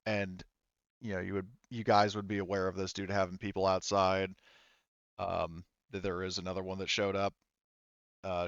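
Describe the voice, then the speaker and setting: Man, tabletop game master, low smooth voice, informal and sweary, thinking aloud, dull lo-fi audio. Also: fairly bright tone, dry recording. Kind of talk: casual narration of a tabletop roleplaying game.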